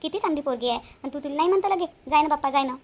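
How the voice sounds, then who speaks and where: Woman voicing a high, nagging Vidarbha mother. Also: very fast, a little mellow, highs cut off, lightly acted. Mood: scolding. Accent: Vidarbha Marathi. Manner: scolding, repetitive, homely. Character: bossy, nagging village mother of a grown son. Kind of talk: homemade cartoon voice acting.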